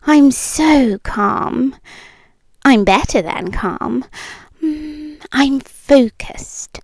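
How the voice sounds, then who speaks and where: Slow, high-voiced British yandere girlfriend, acted by a woman. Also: animated, no room sound, studio quality, full character voice. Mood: calm menace. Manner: slow, deliberate, softly menacing, condescending. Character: possessive yandere ex-girlfriend, eerily calm, obsessive, cooing. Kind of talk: intimate ASMR roleplay, partly whispered. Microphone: close microphone, dry.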